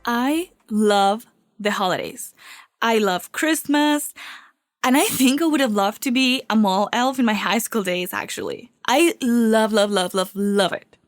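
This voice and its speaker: Woman host, recovering from a cold; warm confiding podcast delivery, dry close mic. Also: fairly high pitch, unhurried, no echo, soft background music. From Venezuela. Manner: upbeat, heartfelt, confiding. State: getting over a cold. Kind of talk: solo podcast talk.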